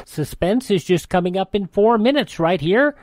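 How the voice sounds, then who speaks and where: A man's voice: high voice, animated, moderate pace, no echo, clean studio sound. A man hosting a classic radio program, reading ads and station notes in a folksy, chatty way. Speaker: radio host. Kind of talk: casual host patter and live-read ads.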